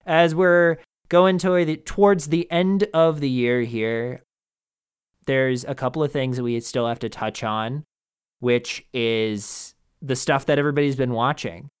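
A man's voice: mid-range voice, steady tone, a little slow, clean tone. A man co-hosting a film podcast, chatting casually and animatedly with frequent fillers and restarts. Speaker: podcast co-host.

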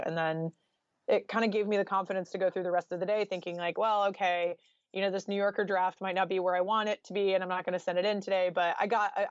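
A woman's voice: fairly low pitch, dry recording, muffled recording. A woman giving conversational interview answers as a guest author, explaining informally with lots of "like" and "you know".

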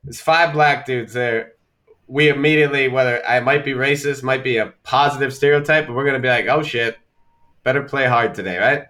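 A middle-aged man co-hosts a podcast. His voice is bright and clear. His delivery is playful, and he teases and jokes in easy banter. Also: mid-range voice, a little fast. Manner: joking, teasing banter. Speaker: podcast co-host. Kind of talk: casual chat.

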